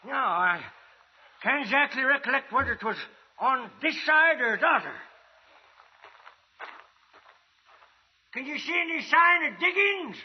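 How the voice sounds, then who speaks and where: An actor playing a folksy, stubbornly hopeful old prospector in a 1950s radio western, with an American rustic drawl. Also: male voice, lively intonation, normal speed. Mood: excited. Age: older. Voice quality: creaky, high-pitched. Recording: dull, noisy old radio recording.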